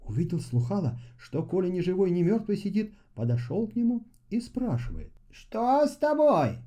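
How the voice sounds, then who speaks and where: A man narrating a children's fairy tale in a theatrical, expressive storytelling style. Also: high voice, fairly quick. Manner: theatrical, acts out characters' dialogue.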